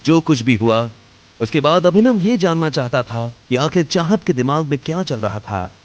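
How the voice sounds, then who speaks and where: A man's voice: a little high, expressive, moderate pace, muffled recording. A man narrating an audio drama in a scripted, dramatic storytelling style.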